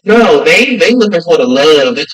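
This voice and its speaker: A man's voice: fairly high pitch, big pitch swings, brisk, fairly bright tone. A man talking as a podcast guest in casual chat, emphatic and repeating phrases for effect. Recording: distant from the mic.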